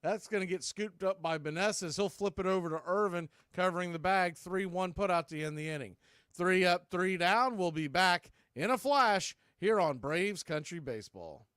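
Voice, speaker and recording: A man's voice: high-pitched, moderate pace, a bit bright, smooth, dry recording. Clean, dry-sounding male baseball play-by-play host. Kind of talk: live radio baseball commentary.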